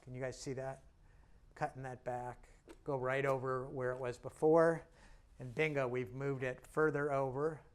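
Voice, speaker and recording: A man teaching a painting lesson in a casual, joking way, talking as he works and steps back to look, with a slightly hoarse voice. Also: mid-range voice, flat delivery, normal speed. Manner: chatty, self-deprecating and jokey while working; talks while painting and stepping back from the canvas. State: slightly hoarse, clearing his throat. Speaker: painting instructor.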